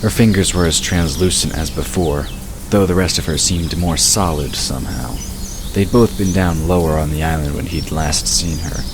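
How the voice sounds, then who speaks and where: A man with an extremely low voice narrates a fantasy story in a scripted audiobook style. Birdsong, crickets and clear background noise sit behind his voice. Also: moderate pace. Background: birdsong, crickets, outdoor ambience.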